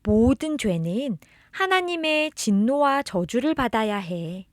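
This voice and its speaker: A woman narrates a children's religious storybook in a fairly high voice, speaking directly to a beloved child. Her delivery is expressive and unhurried. The scripted lines are told as a story. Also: dry recording, studio quality. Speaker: storybook narrator reading children's catechism tales.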